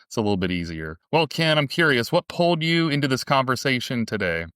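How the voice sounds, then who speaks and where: A man's voice: medium pitch, very animated, a little fast, fairly bright tone, no echo, clean studio sound. A man in his late thirties hosting a podcast interview, friendly and reflective. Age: 30s. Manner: friendly, reflective, lightly scripted intro. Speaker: podcast host.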